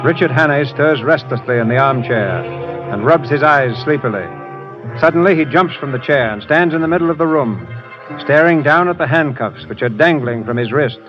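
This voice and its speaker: A man announcing and narrating a 1930s radio drama in a crisp, formal manner. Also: medium pitch, lively intonation, normal speed. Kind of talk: scripted narration. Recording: dull old radio broadcast with music.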